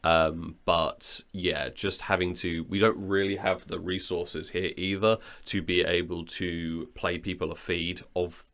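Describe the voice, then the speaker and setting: A man's voice: low voice, flat delivery, measured pace, few pauses, a bit bright. A man chatting casually as a co-host, in a rambling, wry, joking way. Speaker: audio drama maker, co-host. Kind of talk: casual studio chat about productions.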